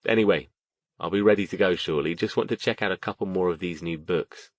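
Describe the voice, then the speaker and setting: A man's voice: low-pitched, brisk, no room sound. A man voicing a polite, reserved magician mentor in an audiobook, courteous and understated. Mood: casual.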